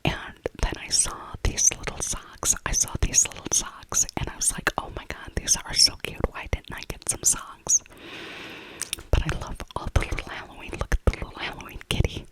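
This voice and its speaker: A middle-aged woman whispering a breathy, rambling ASMR voiceover, softly repeating her phrases. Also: moderate pace, rough recording. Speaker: ASMR creator.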